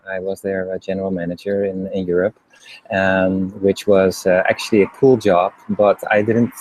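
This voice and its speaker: A middle-aged man from the Netherlands with a Dutch accent, speaking as an interview guest in a hesitant way with many pauses and restarts. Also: on the low side, darkish tone, poor audio. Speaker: guest, HeartMath trainer. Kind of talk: casual interview talk.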